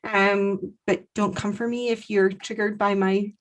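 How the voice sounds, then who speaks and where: A woman in her forties from Scotland with a softened Aberdeenshire accent, co-hosting a casual podcast chat from home into a studio mic and laughing often. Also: mid-range voice, little pitch movement, measured pace. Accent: Aberdeenshire Scottish, softened. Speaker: podcast co-host. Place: home room. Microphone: studio mic on boom arm.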